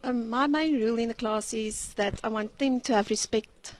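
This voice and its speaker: A woman's voice: fairly high pitch, normal speed. A woman, a grade two teacher, answering questions earnestly and a little nervously. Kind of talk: casual interview answers.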